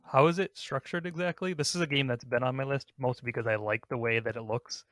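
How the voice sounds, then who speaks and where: Male podcast host, average pitch, conversational countdown delivery, very dry recording. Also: fairly even intonation, quick pace, fairly bright tone, no room sound. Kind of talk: casual countdown discussion.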